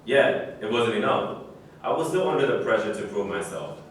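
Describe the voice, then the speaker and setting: A man's voice: fairly low pitch, animated, normal speed, natural voice. A man in a staged reading, playing a proud but dissatisfied former basketball star. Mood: proud, frustrated. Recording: echoey room from far off, faint noise.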